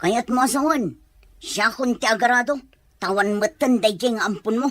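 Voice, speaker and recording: A woman's voice: a little high, moderate pace, fairly bright tone, dry recording. A woman playing a resentful, scornful aunt from the countryside in a drama. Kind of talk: acted drama dialogue.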